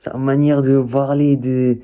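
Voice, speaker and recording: A man's voice: mid-range voice, little pitch movement, unhurried, mellow, slight background noise. A young man hosting a reaction video in casual, slangy, informal chat. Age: young adult.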